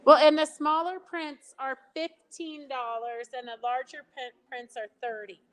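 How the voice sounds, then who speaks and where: Woman interview host, fairly high pitch, chatty and encouraging. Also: steady tone, measured pace, a bit bright, rough recording. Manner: chatty, lots of encouraging backchannels. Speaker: show host. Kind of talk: casual interview chat.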